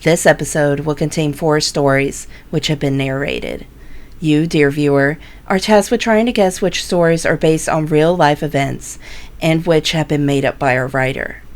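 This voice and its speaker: A woman playing the composed host of an eerie tale anthology, giving scripted introductions in a measured, formal way. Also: low voice.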